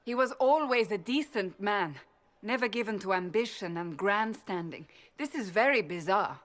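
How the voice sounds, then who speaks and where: A woman's voice: mid-range voice, animated, normal speed, fairly bright tone, lightly acted. An actress playing a resolute, earnest woman warrior in a fantasy game, speaking formally and seriously. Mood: concerned. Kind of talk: fantasy game cutscene dialogue.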